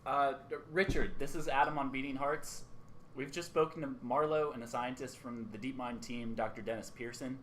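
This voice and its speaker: A man's voice: medium pitch, fairly even intonation, normal speed, a bit bright, a little hiss or hum, close to an everyday voice, low-quality recording. A man playing an earnest, suspicious radio co-host in a radio play, somewhat hesitant. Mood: measured, tentative.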